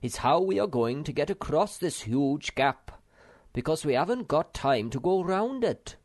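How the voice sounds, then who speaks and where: A man doing a loud, pompous know-it-all. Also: a little high, very animated, unhurried, pitched above the performer's own voice.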